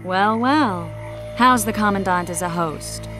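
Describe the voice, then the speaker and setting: An actress playing a shrewd, composed sorceress in a dark fantasy video game, cool and matter-of-fact. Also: female voice, mid-range voice, unhurried, lightly acted. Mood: wry, curious. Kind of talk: dramatic video game acting. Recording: music behind the voice.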